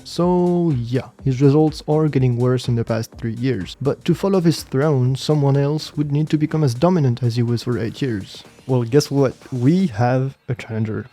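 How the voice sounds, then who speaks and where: A man narrates a documentary in a measured, scripted way. His voice is mellow and relaxed at a middling pitch, with faint music underneath. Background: background music.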